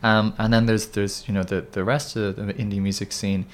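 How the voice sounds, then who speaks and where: A man's voice: on the low side, little pitch movement, normal speed. A man talking as a band member in a casual interview, rambling with many restarts and fillers.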